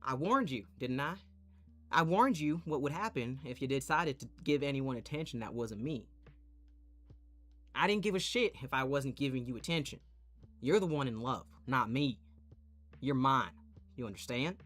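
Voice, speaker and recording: A man's voice: medium pitch, lively intonation, moderate pace, long pauses, fairly bright tone, faint music behind. A man voicing a possessive, cocky young playboy lover with a menacing edge, in a romantic audio roleplay. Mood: jealous, menacing. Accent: Southern US. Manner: domineering, profane.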